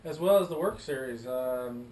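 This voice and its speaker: A man's voice: medium pitch, expressive, normal speed, darkish tone, distant mic. An American man co-hosting a show, laid-back and easygoing. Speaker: co-host. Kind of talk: casual chat. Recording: roomy echo, off-mic, faint noise.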